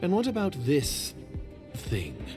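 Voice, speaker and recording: An actor playing a shady, calculating secret agent, wary and disdainful, with a guarded, condescending manner in a video game. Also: male voice, fairly high pitch, huge pitch swings, slow pace, heavily acted. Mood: suspicious. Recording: game music behind the voice.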